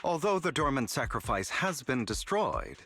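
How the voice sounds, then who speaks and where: Suave scheming trickster for an adventure game, polished and theatrical, bright forceful tone, very expressive pitch. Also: male voice, husky, faint music behind, exaggerated voice. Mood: grave, explanatory. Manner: polished, deliberate, theatrical delivery. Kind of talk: video game voice acting.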